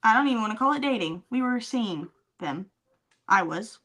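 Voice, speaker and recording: Woman cohosting a podcast, highish voice, joking around n telling stories, kinda sarcastic. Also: animated, normal speed, a bit bright. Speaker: podcast co-host. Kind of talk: casual comedic chat and storytelling.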